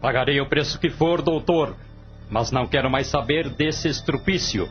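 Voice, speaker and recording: A man's voice: mid-range voice, moderate pace, lightly acted. Impatient, callous complaining man, as acted. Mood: annoyed, callous. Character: callous, irritated man discarding his old pet. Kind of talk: radio drama acting. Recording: dull lo-fi radio drama, faint music.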